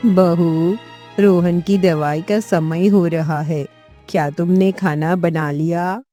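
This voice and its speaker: A woman voicing a gentle, motherly older mother-in-law in a moral-tale cartoon. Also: on the low side, fairly even intonation, slow pace, faint music behind. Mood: concerned.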